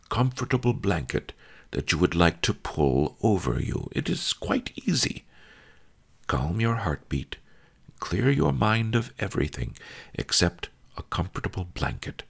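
A man doing a gentle old sage, voice raised high. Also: a little slow, gravelly, breathy edge, heavily acted. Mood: coaxing. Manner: calm, coaxing, soft-spoken. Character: wise old male sage, gentle teacher.

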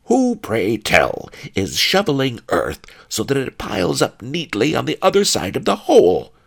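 Crooked pawnbroker, audiobook: excitable, cowardly, high and swooping. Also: male voice, normal speed, vocal fry, slightly rough, full character voice. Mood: snarling, grumbling. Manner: snarling, blustering, wailing when frightened.

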